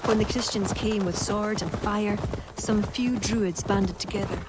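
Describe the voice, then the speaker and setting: Measured, earnest royal adviser played by a woman. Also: mid-range voice, steady tone, close to an everyday voice. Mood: composed, explanatory. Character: earnest, determined female poet advising a king. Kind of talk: video game voice acting. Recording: game audio over clear outdoor noise.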